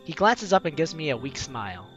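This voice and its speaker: A man's voice: on the high side, very animated, fairly quick, a bit bright, soft background music, poor audio. A man hosting a game playthrough, reading narration and dialogue aloud expressively, with casual asides. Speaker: host of a video game playthrough.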